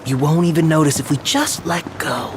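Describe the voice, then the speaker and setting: A man's voice: fairly high pitch, moderate pace, a little hiss or hum, natural voice. An actor playing a jokey, boyish young man who complains and tires easily in a video game. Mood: jokey, complaining. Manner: light, expressive, complaining.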